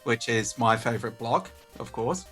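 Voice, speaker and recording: A man's voice: mid-range voice, expressive, moderate pace, a bit bright, faint music behind. A man calling in as a guest, chatting casually. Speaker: call-in guest. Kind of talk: casual chat.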